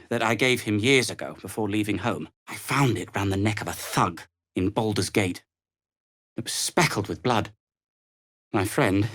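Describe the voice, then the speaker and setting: Proud, indignant gnome, swooping delivery. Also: male voice, medium pitch, a bit bright. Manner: wry, formal, theatrically indignant. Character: proud, grumbling deep gnome man, sardonic and loyal. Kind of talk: scripted video game character lines.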